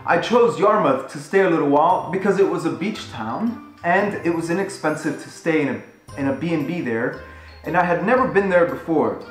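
A man's voice: a little high, echoey room. A man in his thirties, a travel vlogger, chatting casually and upbeat while he narrates a road trip from his living room. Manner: upbeat and conversational. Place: living room at home, indoors.

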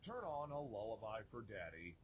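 A man's voice: fairly low pitch, sing-song, normal speed, a little hiss or hum. A man narrating a children's game from a script, giving simple instructions for young kids.

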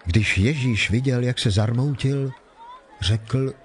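A man's voice: mid-range voice, a crowd in the background. A man reading scripted narration for a dramatized scripture production. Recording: faint music behind the voice.

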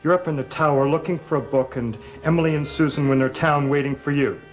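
An actor as a prickly young man who questions everything. His voice is fairly high and pointed, in a vintage gothic soap with music behind it. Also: steady tone, fast talker, lightly acted, low-quality recording. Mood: irritated, challenging. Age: young adult. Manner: pointed, interrogating. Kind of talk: 1960s gothic soap opera acting. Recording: vintage TV soundtrack with music underneath.

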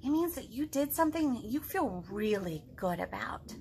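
A woman's voice: fairly high pitch, animated, moderate pace, darkish tone, a little hiss or hum. A woman in her thirties hosting a read-aloud storytime, talking expressively and directly to young children. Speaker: children's librarian, storytime host. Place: living room at home.

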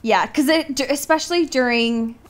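A woman with a fairly high voice talks as a podcast co-host. She explains things in a loose, chatty way, with informal wording and the odd swear word. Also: moderate pace. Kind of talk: casual film discussion chat.

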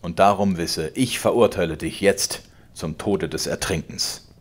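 A loud, mocking old father spits out accusations in a deep, husky voice, as a man reading aloud puts him on. He sounds triumphant and domineering in this audiobook reading. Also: moderate pace. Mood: accusing. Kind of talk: audiobook character voice.

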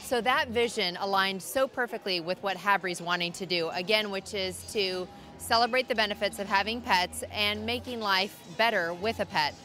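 A woman's voice: medium pitch, normal speed, pushed and bright, faint music behind. A woman in her forties speaking as a company executive in an interview, earnest and fluent, into a handheld mic. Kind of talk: interview answer.

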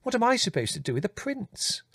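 Man putting on a high, fretful English mother. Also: animated, moderate pace, dry recording, studio quality. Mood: exasperated. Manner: quavering, exclaiming, fussing. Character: flustered, fretful middle-aged English mother, easily exasperated.

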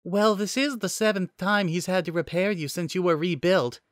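A man voicing a gentle, polite female AI assistant robot in a story reading, soft-spoken and courteous. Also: sits high, normal speed, dry recording, clean studio sound, voice pushed up, close to an everyday voice. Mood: gentle, apologetic.

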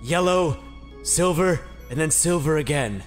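Aloof, edgy hedgehog antihero voiced by a man, dry and deadpan, slightly high male voice, cartoon comedy over loud music. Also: a little slow, close to an everyday voice. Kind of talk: improvised comedy fan dub.

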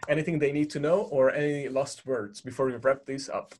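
A man from Hungary with a Hungarian accent hosts a podcast in a mid-pitched voice. He speaks casually, thanks his guest again and again, and leans on fillers like "um" and "you know". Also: steady tone, a little fast, a little mellow, a little hiss or hum. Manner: friendly, effusive thanks, fillers. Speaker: podcast host.

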